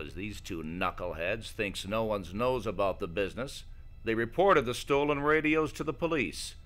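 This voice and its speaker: A man's voice: lowish voice, vocal fry, a bit bright, slight background noise, exaggerated voice. A man playing a pushy, hard-boiled film-noir detective, interrogating in a tough-talking melodramatic style.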